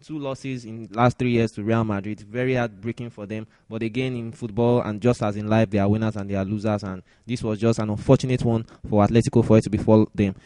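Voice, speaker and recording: A man's voice: on the low side, steady tone, quick pace, hardly any pauses, warm tone, no echo. A man hosting a sports podcast, talking through news and results as commentary. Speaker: podcast host.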